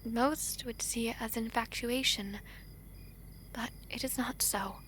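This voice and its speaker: A woman playing a gentle, earnest young princess in a romantic audio drama, speaking softly in formal, hesitant phrases. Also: on the high side, steady tone, slow, a little hiss or hum, poor audio. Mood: earnest, tender.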